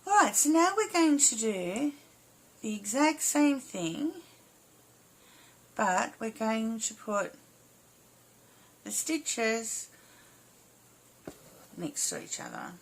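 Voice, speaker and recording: A woman instructor talks through a hands-on craft tutorial at a very unhurried pace. Her voice is croaky and slightly hoarse, with a gravelly creak. She explains informally in short phrases, and there is some noise in the recording. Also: a little high, huge pitch swings. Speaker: embroidery instructor. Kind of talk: casual step-by-step craft tutorial.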